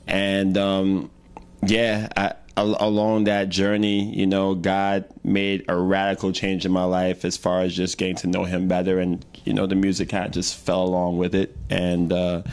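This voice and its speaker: A man's voice: deep voice, monotone, moderate pace, clear, resonant tone. A young man telling his story in a casual interview, rambling with many fillers and restarts. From Trinidad and Tobago. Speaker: guest, hip-hop artist.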